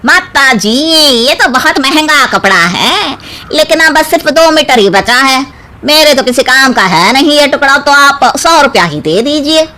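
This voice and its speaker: A woman's voice: sits high, lively intonation, measured pace, pushed and bright, pitched above the performer's own voice, exaggerated voice. A woman voicing a polite male cloth seller in a cartoon story. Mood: persuading.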